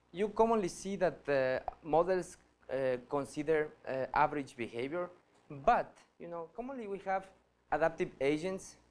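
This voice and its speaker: A man in his thirties from Ecuador, a PhD student giving a workshop presentation with many pauses and restarts. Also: fairly high pitch. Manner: hesitant, many fillers and restarts, earnest. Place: lecture hall.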